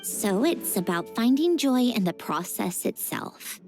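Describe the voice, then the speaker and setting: A woman's voice: mid-range voice, sing-song, unhurried, darkish tone, faint music behind. A woman playing a proud, aloof young woman in a video game, skeptical and dismissive. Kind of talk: English video game dub acting.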